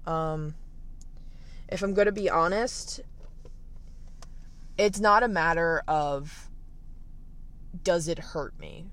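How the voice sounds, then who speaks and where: A young man in his late teens with a raspy voice, candidly thinking aloud in a casual livestream from the beach, full of fillers and restarts. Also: on the high side, fairly bright tone. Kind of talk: reflective casual livestream monologue.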